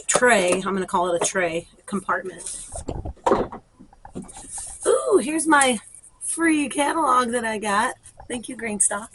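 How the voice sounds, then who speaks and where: A woman's voice: mid-range voice, fairly bright tone, slight background noise, rough recording. A woman chatting casually and thinking aloud as she demonstrates for a garden vlog. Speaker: garden vlogger.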